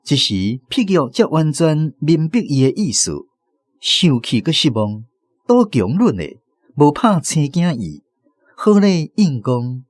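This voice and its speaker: Animated Taiwanese male narrator. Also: a little high, a little slow, no room sound, clean studio sound. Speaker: drama narrator. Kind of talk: expressive scripted story narration.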